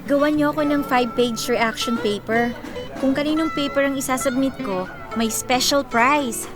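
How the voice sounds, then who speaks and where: Woman acting high-voiced confident young college woman. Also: normal speed, music in the background, lightly acted. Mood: bold, playful. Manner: breezy, expressive, playful. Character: confident, playful young college woman, rich beauty queen. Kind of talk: Filipino radio drama acting.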